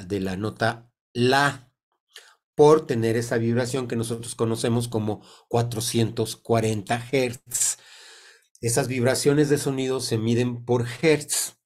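An older man in his sixties speaks Spanish with a Mexican accent, his voice congested from a cold. He teaches informally over a video call at a slow pace, pausing often as he deliberately searches for each phrase. His pitch sits in an ordinary middle range for a man. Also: darkish tone. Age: 60s. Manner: halting, deliberate, with many pauses. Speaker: sound therapy teacher. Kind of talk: informal explanatory online talk. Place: indoor room on a video call. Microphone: computer mic on a video call.

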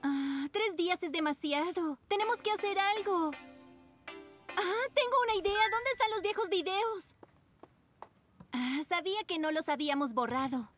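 A woman playing a bossy, playful teenage girl in an animated cartoon dub. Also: very high-pitched, moderate pace. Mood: determined. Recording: music behind the voice.

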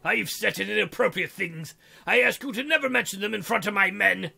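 A man voicing a gruff, wounded pirate captain from a visual novel, with arrs and old sailor talk. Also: high-pitched, fairly quick, pushed and bright, exaggerated voice. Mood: bitter, resigned. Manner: growled pirate "arr" and "ye". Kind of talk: visual novel role reading.

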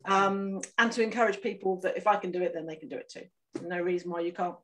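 A woman's voice: on the low side, flat delivery, quick pace, slight background noise. A woman author chatting on a video call from home, talking casually with many fillers. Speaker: author and networking guest.

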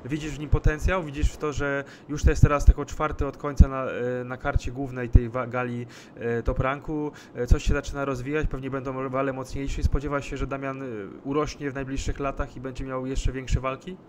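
A man's voice: medium pitch, level tone, fairly quick, hardly any pauses, background noise. A male sports journalist asking long, winding questions over a video call, hesitating as he goes. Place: indoor room at home.

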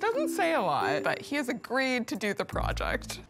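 A woman's voice: fairly high pitch, wildly swooping pitch, moderate pace. A woman in her thirties talking as a motel owner in reality show interviews and chat. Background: music bed.

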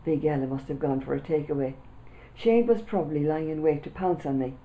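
An older woman reading her own children's book aloud with lively first-person storytelling, seated in a small room. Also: deep voice, fairly quick, low-quality recording. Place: small indoor room with printed backdrop.

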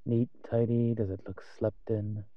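Low, slow-spoken male vampire, acted by a man. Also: little pitch movement, no echo, phone-quality sound, natural voice. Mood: wry, musing. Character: wry, cautious male vampire father investigating a cabin. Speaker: voice actor in audio roleplay. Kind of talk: scripted audio roleplay acting addressed to the listener.

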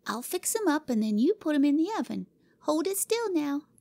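A woman voicing a bossy, excitable little girl in a storybook reading, shrill and commanding. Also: moderate pace, vocal fry, no room sound, clean studio sound, heavily acted. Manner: shrill, imperious, gleeful.

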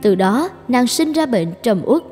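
Woman, fairy tale narrator, calm storytelling, high pitch. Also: measured pace, in a large hall, faint music behind.

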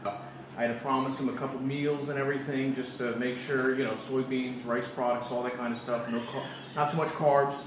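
A man's voice: medium pitch, little pitch movement, normal speed. A man in his thirties rambling to camera in a mock interview, with earnest, self-important, hyped tough talk. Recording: noisy, echoey, distant camera microphone.

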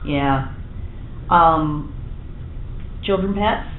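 A woman's voice: sits low, drawn-out words, a little hiss or hum. A woman facilitating group introductions in a meeting room, upbeat, exclaiming and teasing. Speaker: session facilitator. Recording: distant, tinny, echoey room.

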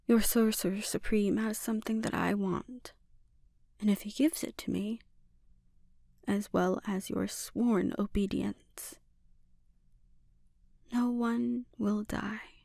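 A woman playing a menacing, seductive sorceress and obsessive mother in a breathy, often whispered ASMR roleplay, speaking deliberately and with quiet threat. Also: mid-range voice, fairly even intonation, no echo, studio quality. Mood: coolly threatening.

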